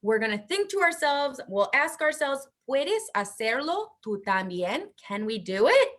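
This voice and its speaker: A woman's voice: sits high, big pitch swings, measured pace, fairly bright tone. A woman teaching bilingually at an interactive children's story time, upbeat and encouraging.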